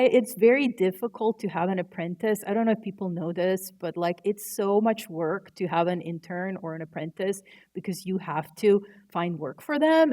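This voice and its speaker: A woman's voice: medium pitch, a little mellow. A woman whose first language is Croatian, an artist and typographer answering panel questions in a thoughtful, candid way. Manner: thoughtful, searching for words, candid. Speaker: artist and typographer, university educator.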